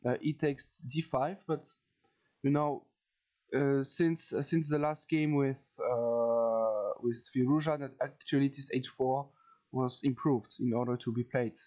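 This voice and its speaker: A man from France with a French accent gives an informal lesson as a video host, haltingly searching for words and repeating phrases. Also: mid-range voice, steady tone, a little mellow. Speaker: chess teacher, video host. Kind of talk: informal instructional commentary.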